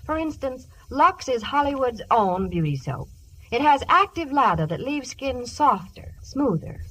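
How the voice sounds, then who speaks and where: A high-voiced American woman announces a scripted sales pitch in 1950s radio style. Her intonation swings widely, and it sounds like a vintage broadcast recording. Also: a bit bright, husky, low-quality recording.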